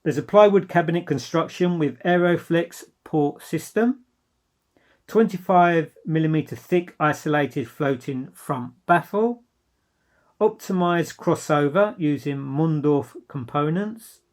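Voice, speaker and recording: A man gives an informal product review. His voice sits a little higher than average for a man. He speaks with enthusiasm and clear emphasis, like a dealer presenting his wares. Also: a little slow.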